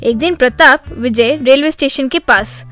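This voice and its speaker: A woman's voice: high voice, normal speed, a bit bright, soft background music. A woman narrating a scripted children's story as the storyteller.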